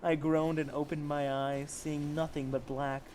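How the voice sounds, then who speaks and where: A man's voice: on the high side, little pitch movement, measured pace, darkish tone, slight background noise. A man casually reading fan fiction aloud as an amateur story reader, stumbling over the text and correcting himself.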